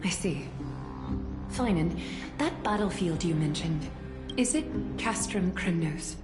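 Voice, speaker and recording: A regal woman leader from a video game, speaks in a lowish voice, formal and exacting. She is cool and composed, in an echoey space with music behind. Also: expressive, slow pace. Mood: probing. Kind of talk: scripted video game voice acting.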